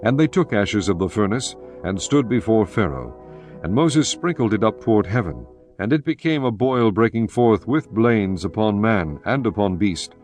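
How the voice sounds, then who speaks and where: Male narrator, fairly low pitch, measured, solemn, scripted scripture reading, faint background music. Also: expressive, darkish tone. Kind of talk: scripted dramatised Bible narration.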